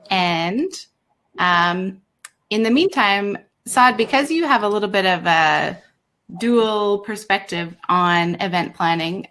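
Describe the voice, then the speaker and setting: A woman's voice: mid-range voice, a bit bright. A woman moderating a video-call panel, friendly and organized as she brings in speakers and handles the logistics.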